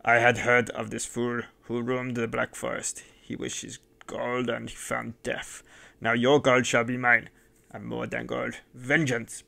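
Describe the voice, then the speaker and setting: A man's voice: medium pitch, monotone, a little slow, vocal fry, sharp tone, exaggerated voice. A man voicing a mad, vengeful male innkeeper who roars and laughs wildly. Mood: raving triumphant. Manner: roaring, laughing wildly, mumbling.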